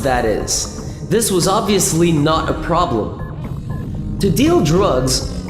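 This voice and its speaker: A woman reading scripted sci-fi radio play narration in a deliberate, mock-serious storytelling manner. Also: fairly low pitch. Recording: echoey long reverb with music.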